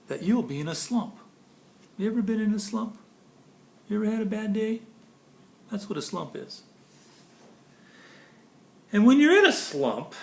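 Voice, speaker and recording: A man reads a children's story aloud in an echoey room. His voice sits a little high and swings widely in pitch. He pauses expressively between phrases and talks directly to his listeners. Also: gravelly. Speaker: storybook reader. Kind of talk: animated read-aloud of a children's picture book with chatty asides.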